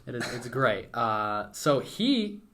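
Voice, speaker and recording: A man's voice: mid-range voice, big pitch swings, slow pace, bright, forceful tone, a little hiss or hum. A young man from Western Ukraine, a native Ukrainian speaker, chatting casually and informally as a podcast guest. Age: young adult. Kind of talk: casual conversational interview.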